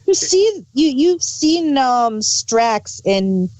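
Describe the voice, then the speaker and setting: Lady cohost just chatting, offhand, unhurried, really up and down intonation. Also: high voice, very animated, a little mellow, rough recording. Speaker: podcast co-host. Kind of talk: casual chat.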